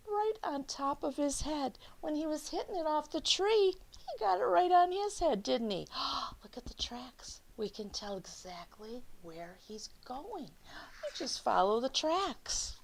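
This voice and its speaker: A woman reads a picture book to children at a slow, unhurried pace in a mid-pitched voice. She is expressive, stretching key words and slipping into hushed asides as she puts questions to her young audience. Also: gravelly. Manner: addresses young listeners with questions. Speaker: children's storyteller.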